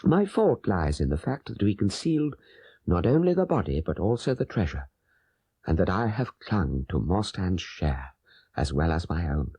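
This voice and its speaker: Man acting a breathy, dying old father. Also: sits low, very animated, mellow, no room sound, pitched below the performer's own voice, full character voice. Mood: weak, fading. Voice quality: breathy, fading into whispers. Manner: halting, laboured deathbed speech. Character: dying old father, guilt-ridden, greedy, confessing. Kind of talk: dramatic audiobook character voice.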